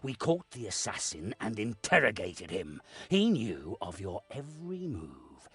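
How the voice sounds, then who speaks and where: An actor playing a stern, authoritative lord of a castle in a video game, husky and deliberate. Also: male voice, on the low side, big pitch swings, faint music behind, heavily acted. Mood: measured, knowing. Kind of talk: video game cutscene acting.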